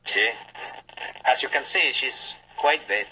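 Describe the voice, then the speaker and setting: An actor playing a composed, courteous Latin gentleman in a 1940s radio drama, speaking politely and precisely. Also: medium pitch, slow, natural voice. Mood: grave. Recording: muffled old radio, faint hiss.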